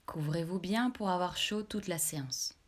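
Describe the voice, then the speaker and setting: A woman leading a guided relaxation meditation as a yoga nidra instructor, giving calm, measured instructions. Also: fairly low pitch.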